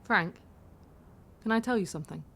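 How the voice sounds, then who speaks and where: An actress playing a candid, vulnerable young woman taxi passenger in an audio drama, confiding in a halting, self-deprecating way. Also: mid-range voice, vocal fry, a bit bright, slight background noise. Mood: nervous, confiding.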